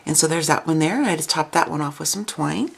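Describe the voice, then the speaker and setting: A woman with a low, creaky voice hosts a casual craft video. She sounds raspy, as if coming down with a cold, and explains things in a chatty, informal way. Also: animated, quick pace.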